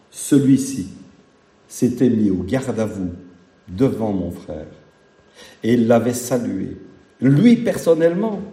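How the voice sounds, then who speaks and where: Preacher with a very dark, mellow voice. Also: male voice, mid-range voice, roomy sound. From Belgium. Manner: folksy, humorous, emphatic repetition. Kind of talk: sermon.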